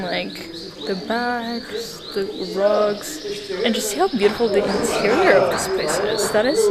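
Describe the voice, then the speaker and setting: A young woman from a post-Soviet country, a native Russian speaker, talking in a chatty and earnest way, full of "like" fillers, as she vlogs her travels. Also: mid-range voice. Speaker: travel vlogger. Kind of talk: casual vlog monologue. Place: outdoors and in shops and cafes on a trip. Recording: loud background music.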